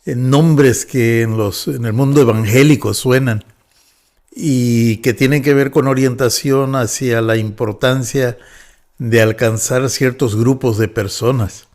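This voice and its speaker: A man in his fifties with a Mexican Spanish accent speaks as a pastor on a podcast. He talks in a calm, measured way and pauses often on "eh" between thoughts. His voice sits at an ordinary middle pitch. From northwest Mexico. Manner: measured, with frequent "eh" fillers. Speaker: pastor and guest. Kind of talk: relaxed podcast interview.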